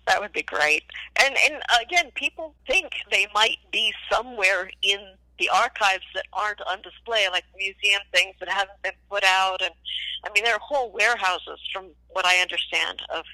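Bright, effusive older woman on a call. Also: medium pitch, moderate pace, dry recording, poor audio. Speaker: interview guest, former child actress. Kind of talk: casual interview chat. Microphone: remote call.

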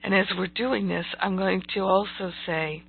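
A woman with a croaky, gravelly voice giving motivational spiritual teaching, deliberate and emphatic, repeating phrases for weight. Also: medium pitch, steady tone. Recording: thin, phone-like audio.

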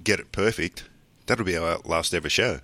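Casting note: Australian man, very low and bright, laid-back podcast co-host. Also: moderate pace, no room sound. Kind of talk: casual chat.

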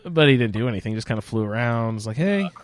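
A man's voice: mid-range voice, lively intonation, quick pace, a little hiss or hum. A man hosting a podcast roundtable with casual, informal, sweary banter. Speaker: podcast host.

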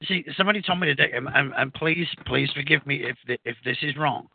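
A man's voice: a little high, gravelly, no echo. Man on a tinny phone-like line. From outside the US. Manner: halting, many fillers and restarts. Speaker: radio co-host. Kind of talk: casual radio talk. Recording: phone-like narrow sound, dry.

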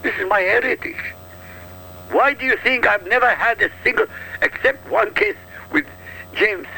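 A man from Iraq argues on talk radio in short, punchy, combative bursts. His voice sits high and swings widely, with a gravelly edge and a sharp, bright tone. A faint hiss runs under the line. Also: moderate pace, rough recording. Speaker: radio talk show regular. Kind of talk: heated political talk radio.

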